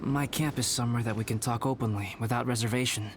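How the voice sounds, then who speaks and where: A man voicing a calm young male alchemist in a video game, speaking in a measured, formal and polite way over game music. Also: medium pitch, background noise, close to an everyday voice.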